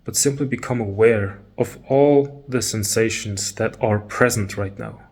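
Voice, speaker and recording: A man leading a guided meditation talk, calm and measured, pausing between short phrases. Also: fairly low pitch.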